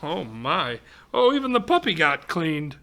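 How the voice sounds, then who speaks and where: A man's voice: fairly high pitch, sing-song, a little slow, sharp tone. A man reading a rhyming picture book aloud to children, expressively, with exclamations and chatty asides. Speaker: volunteer storybook reader for children.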